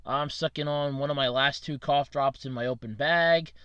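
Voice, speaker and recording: A man's voice: fairly high pitch, steady tone, normal speed, bright, forceful tone, clean tone, no echo, muffled recording. A man hosting a casual solo podcast, his throat scratchy from allergies, rambling and correcting himself with little laughs. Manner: rambling, self-correcting, chuckling. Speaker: podcast host.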